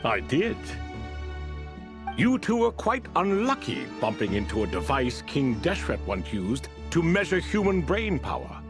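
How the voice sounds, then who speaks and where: A man's voice: a little high, huge pitch swings, measured pace, music in the background. An actor playing an ancient ibis king spirit in a video game, courteous and wistful, with a theatrical, leisurely storytelling manner. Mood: explanatory, breezy.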